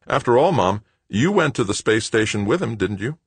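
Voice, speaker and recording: A man's voice: on the low side, very animated, moderate pace, slightly rough, no echo, pitched above the performer's own voice, exaggerated voice. A man voicing a volatile, bitter adult son in an audiobook, with a snarling, ranting delivery. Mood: mocking, casual. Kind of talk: audiobook character acting.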